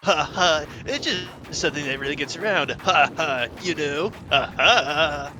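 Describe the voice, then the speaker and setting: A man's voice: a little high, a little slow. A man doing a casual, playful dramatic reading of a fan comic. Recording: noisy home recording.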